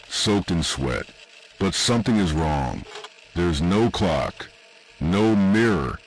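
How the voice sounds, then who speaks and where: Deep, slow male horror narrator. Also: a little hiss or hum. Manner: ominous, deliberate, short dramatic phrases with pauses. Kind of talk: scripted second-person analog horror narration.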